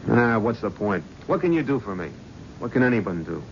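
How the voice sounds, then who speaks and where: An actor playing a tough middle-aged talent agent, blunt and dismissive. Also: male voice, fairly low pitch, big pitch swings, normal speed, a little hiss or hum. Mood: scoffing. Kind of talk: 1970s radio drama acting. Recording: dull vintage radio broadcast.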